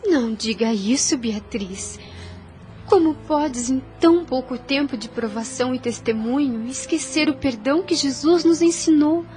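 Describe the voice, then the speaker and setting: A devout young servant woman counsels with patient, forgiving earnestness. Her Brazilian-accented voice is somewhat high and soothing. The lines are formal radio soap dialogue over soft music. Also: expressive, a little slow. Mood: gently reproving. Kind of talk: radio soap opera acting.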